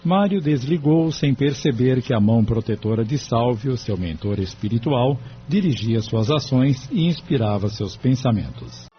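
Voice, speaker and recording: A male narrator with a medium-pitched voice reads scripted drama narration. Music plays clearly behind him, and the recording is a little dull. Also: lively intonation, moderate pace. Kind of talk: scripted audio novela narration. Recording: dull sound with background music.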